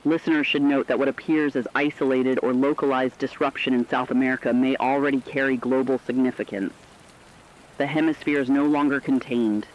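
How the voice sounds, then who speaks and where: A man's voice: mid-range voice, fairly even intonation, fairly quick, highs cut off, close to an everyday voice. A man playing a serious radio war analyst, giving formal, sober and emphatic commentary in a scripted emergency broadcast. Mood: sober, warning. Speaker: news analyst.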